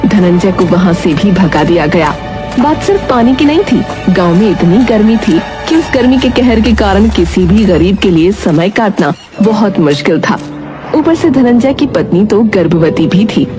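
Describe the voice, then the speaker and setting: A woman's voice: mid-range voice, expressive, normal speed. Woman narrating a cartoon moral story. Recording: music behind the voice.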